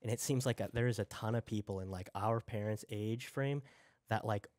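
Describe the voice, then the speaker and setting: Low-voiced young man, dry close sound. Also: a little fast, darkish tone, dry recording. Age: young adult. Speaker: college student, podcast guest. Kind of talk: casual chat. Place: at a dining table in a home. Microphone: headset.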